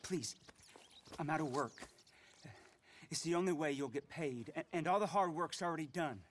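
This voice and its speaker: A man's voice: on the high side, close to an everyday voice. A man playing a nervous, pleading frontier debtor with an American accent and a hesitant manner in a video game. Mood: pleading, anxious. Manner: hesitant, placating, halting. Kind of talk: dramatic video game acting.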